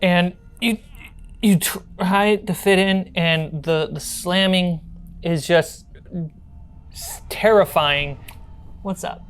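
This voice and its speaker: Low-voiced woman running a tabletop game. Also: steady tone, slow pace, clear, resonant tone. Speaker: game master. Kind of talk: narrating a tabletop role-playing game.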